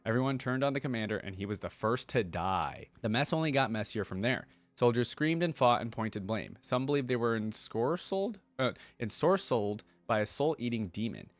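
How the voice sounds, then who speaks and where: A man reads a story aloud in a casual, reactive way. His delivery is animated, and he slips easily into offhand commentary. The sound is dry, with very little room. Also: medium pitch, normal speed, few pauses, no room sound. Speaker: reaction video host.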